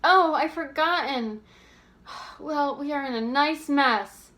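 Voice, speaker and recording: Woman acting Bess, an anxious, high-voiced young woman. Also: slow pace, a bit bright. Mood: nervous, resigned. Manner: breathless exclamations, fretful.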